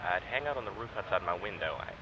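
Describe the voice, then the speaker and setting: Low-voiced wry, rambling young man, played by an actor. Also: animated, highs cut off, noisy background. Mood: halting, wry. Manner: halting, informal, sardonic. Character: wry, rambling young man describing his memories, guarded test subject. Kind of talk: naturalistic audio drama acting.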